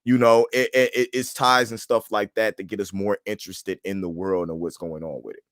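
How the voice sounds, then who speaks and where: A man with a low voice co-hosts a casual fan discussion. He talks in a colloquial, emphatic way, often restarting and repeating phrases. The recording is close and dry, with little room sound. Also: fairly even intonation, fairly quick, fairly bright tone, dry recording. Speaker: co-host of a pop culture show.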